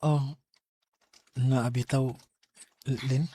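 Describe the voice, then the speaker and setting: A man's voice: mid-range voice, level tone, extremely slow, dark tone, no echo. A man playing an earnest, hesitant student who is smitten and dreams of law, acted in Bisaya radio drama style. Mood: nervous, lovestruck. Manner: hesitant, stammering. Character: earnest male student, studious, smitten aspiring lawyer. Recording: music under the dialogue.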